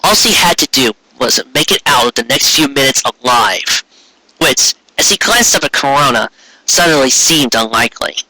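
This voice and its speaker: A man narrating a story in an expressive, dramatic storybook style, with the odd aside. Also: medium pitch, normal speed, dry recording, rough recording. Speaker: fan fiction reader.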